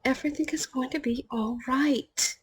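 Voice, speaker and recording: A woman's voice: high-pitched, steady tone, moderate pace, slightly rough. A woman playing a patient, reassuring hospital nurse in a scripted audio roleplay, speaking politely and formally. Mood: calm, reassuring.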